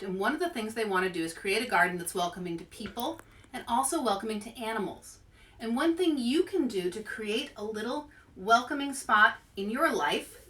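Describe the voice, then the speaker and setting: A woman reading aloud and demonstrating for children in an animated, expressive way, as a children's author and host. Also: on the high side, distant mic. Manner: animated, expressive delivery to young listeners.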